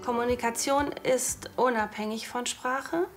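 A woman teacher speaking earnestly and deliberately in an interview. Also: on the high side, steady tone, soft background music.

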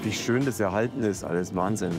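A man's voice: fairly low pitch, a little fast. A man with a Bavarian accent chatting casually, curious and often asking for confirmation. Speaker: show guest. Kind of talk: casual chat. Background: music.

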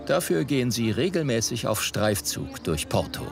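A man's voice: mid-range voice, very animated, moderate pace, gravelly, over music. A man reading scripted narration as the narrator of a documentary report.

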